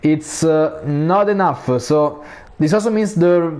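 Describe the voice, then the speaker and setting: A man's voice: takes it slowly, darkish tone. A man with an Italian accent giving live commentary on an online racing league, full of "uh" fillers, his voice rising when cars fight for position. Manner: frequent "uh" fillers, rises in pitch during battles. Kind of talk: live esports race commentary.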